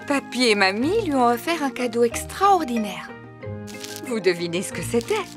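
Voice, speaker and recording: A woman voicing a gentle mother pig who tells family stories in a children's cartoon. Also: on the high side, big pitch swings, moderate pace, music in the background. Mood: fond, gentle. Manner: gentle, storytelling.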